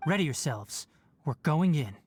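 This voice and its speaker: A man playing a young fox-eared forest ranger in a video game, composed and sensible, with a measured, matter-of-fact, instructive delivery. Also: fairly high pitch, very animated, background noise. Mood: serious, cautionary. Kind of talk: video game character dialogue.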